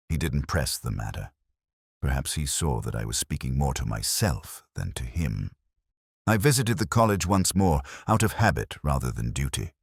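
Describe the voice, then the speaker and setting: A man performs a melancholy middle-aged police inspector narrating his own detective drama. His voice is extremely deep and moves expressively up and down. The delivery is measured, reflective and literary, recorded close and dry. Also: no room sound, heavily acted. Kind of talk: first-person scripted detective drama narration.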